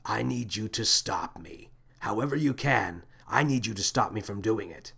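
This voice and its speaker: Narrator acting a dramatic, brooding spaceship captain, gravelly edge. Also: male voice, lowish voice, moderate pace, a bit bright, heavily acted. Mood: grave, sad. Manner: dramatic, brooding, blurting when agitated. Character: grave, sorrowful adult male spaceship captain, excitable when alarmed. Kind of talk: children's sci-fi storybook character voice.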